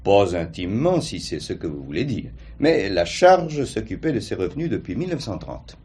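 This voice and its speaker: An actor playing a formal, precise notary with a husky voice, recounting facts in a measured way in a crime drama. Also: male voice, fairly low pitch, very animated, a little mellow, exaggerated voice. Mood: formal, explanatory. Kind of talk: French crime drama acting.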